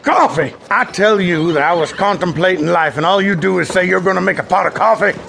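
An actor playing a cranky, self-important, gravelly-voiced frontier porch philosopher in a western audio drama. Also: male voice, sits high, fairly quick, pushed and bright, a little hiss or hum, full character voice. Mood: indignant.

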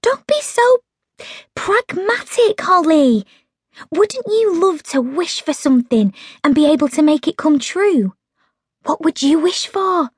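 A woman voicing a heartbroken, dreamy young woman in an audiobook, plaintive and breathy. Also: high-pitched, expressive, unhurried, dry recording, studio quality, pitched above the performer's own voice. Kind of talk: audiobook character voice.

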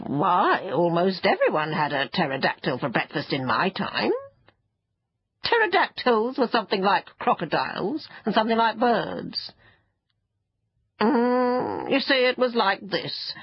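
A woman's voice: mid-range voice, huge pitch swings, a little slow, slightly rough, no room sound, dull audio, full character voice. A woman voicing an ancient, furry sand fairy from a children's storybook, crotchety, haughty and scornful. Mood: reminiscing. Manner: scornful, peevish, self-important.

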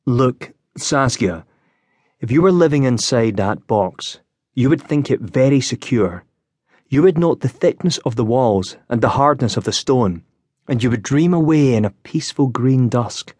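A man narrating an audiobook as a frail, gloomy young wounded officer, speaking with gentle, wistful courtesy. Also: medium pitch, no room sound. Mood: grave, earnest.

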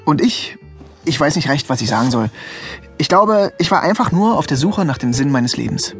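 A man's voice: on the high side, lively intonation, moderate pace, soft background music. An actor playing a wry, easygoing young Russian man recounting his youth in an audio drama. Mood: wry, reflective. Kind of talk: audio drama first-person narration.

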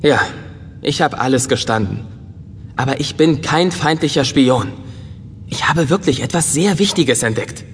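A man playing an eager, bookish, curious robot in a science fiction audio drama, excitable, earnest and polite. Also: fairly high pitch, unhurried, a little hiss or hum. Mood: earnest enthusiasm. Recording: long reverberant hall sound.

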